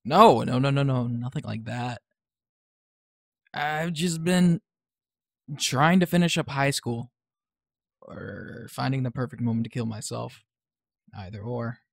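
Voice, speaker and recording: A young man playing a sullen, sarcastic, troubled high school boy in an audio drama, hesitant and defensive. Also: expressive, unhurried, creaky, pauses often, dry recording, clean studio sound. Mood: sarcastic, awkward.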